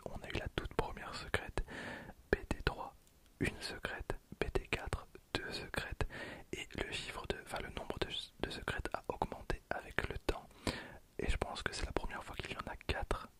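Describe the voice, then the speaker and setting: An ASMR creator in their twenties speaking in a hushed, breathy whisper, casually commenting on card packs and softly repeating phrases. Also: moderate pace. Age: 20s.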